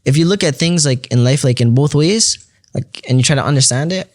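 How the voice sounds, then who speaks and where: A man's voice: a little high, brisk. A young man from Ecuador, a guest rapper talking casually on a podcast, laid-back and leaning on fillers like "fam" and "you know what I mean".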